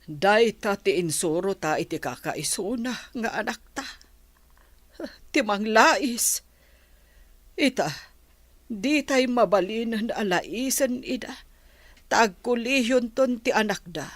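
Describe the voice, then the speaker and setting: A woman's voice: a little high, expressive, takes it slowly, pauses often, no room sound. A woman playing a worried, fretful mother of an only child in a radio drama.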